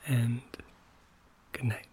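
A man speaking in a soft, gentle, breathy whisper as he introduces a bedtime podcast. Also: extremely slow, a little hiss or hum. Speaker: podcast host introducing an episode. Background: music.

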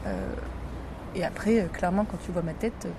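A woman's voice: lowish voice, fairly quick. A young woman who grew up in Morocco, co-hosting a casual chat and rambling with lots of fillers. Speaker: podcast co-host. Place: outdoor terrace. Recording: street noise outdoors.

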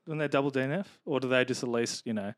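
A man's voice: mid-range voice, fast, a loud crowd. A man hosting a casual sports chat podcast, joking and steering the talk with questions. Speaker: podcast host.

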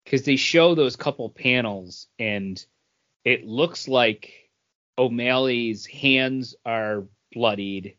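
A man with a medium-pitched voice thinks aloud in a casual podcast discussion. He explains his reading of a story in an informal way, on slightly muffled, lo-fi audio. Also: slow pace, a bit bright. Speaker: comic book podcast co-host. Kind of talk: casual book discussion chat.

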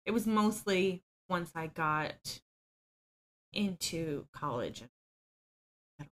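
Candid woman with a bright voice. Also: on the low side, lively intonation, slow, a little hiss or hum. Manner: open and self-deprecating, laughs easily, frequent ums. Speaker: podcast guest. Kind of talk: candid personal storytelling.

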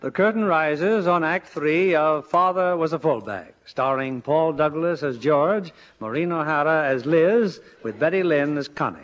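A man's voice: fairly high pitch, unhurried, hardly any pauses. An American man giving scripted, theatrical narration for a 1950s radio drama. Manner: theatrical, formal. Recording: dull old radio recording, loud music.

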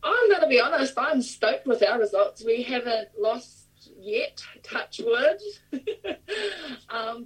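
A woman's voice: a little high, distant mic, rough recording. A young woman with a New Zealand accent, a rugby player chatting in an upbeat, easygoing way in an interview. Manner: upbeat and laughing easily. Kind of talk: remote interview.

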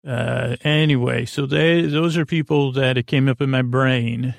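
A man hosting a sleep podcast, speaking gently in a rambling, self-interrupting way that drifts off on tangents. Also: measured pace, no echo, clean studio sound. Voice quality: creaky, low-key delivery. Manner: gentle reassurance, flat pitch. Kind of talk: meandering bedtime monologue.

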